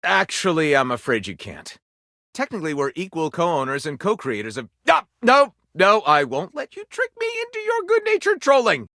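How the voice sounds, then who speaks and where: A man's voice: high voice, huge pitch swings, normal speed, pushed and bright, no echo, studio quality, full character voice. A man voicing a weary, theatrical, fussy game developer in a video game, dramatic and prone to interrupting himself. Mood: flustered. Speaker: game director character.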